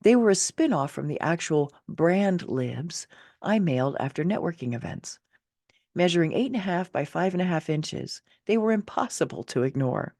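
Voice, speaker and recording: Expressive woman reading her own memoir, dry sound. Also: on the low side, normal speed, no room sound. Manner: candid, wry, conversational asides. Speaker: author and narrator.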